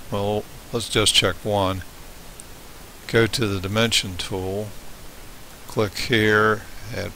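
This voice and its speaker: A man giving an unscripted step-by-step software tutorial in a plain, halting way, pausing mid-phrase. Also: fairly low pitch, poor audio. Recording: hissy home voice-over recording. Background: steady hiss.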